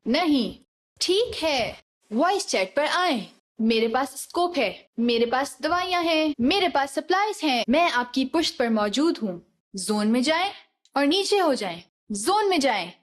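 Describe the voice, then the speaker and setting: A woman's voice: sits high, heavily acted. A woman voicing a female soldier squadmate in a shooter game, giving short, clipped tactical commands. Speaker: game voice pack announcer.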